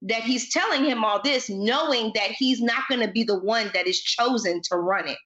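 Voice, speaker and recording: A woman's voice: on the high side, moderate pace, pushed and bright. A woman co-hosting a casual TV recap podcast, talking conversationally on slightly lo-fi audio. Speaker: podcast co-host.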